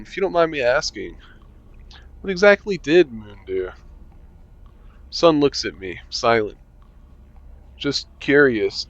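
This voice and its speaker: A man's voice: mid-range voice, fairly bright tone, dry recording, muffled recording. A man reading a visual novel aloud with commentary, making dry, sarcastic asides and swearing casually. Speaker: game streamer.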